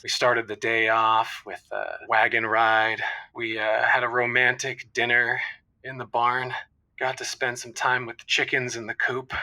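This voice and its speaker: Male caller an actor as earnest finance striver, medium pitch, very sharp tone, hesitant and sentimental, comedy radio. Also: rough recording. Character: earnest big-city finance man home in small town. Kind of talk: improvised comedy phone-in storytelling.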